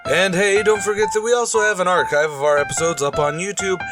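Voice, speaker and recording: A male podcast announcer chats playfully through closing notices. His voice is slightly high, with music clearly playing behind him. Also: fairly even intonation, fast talker. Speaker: announcer and host.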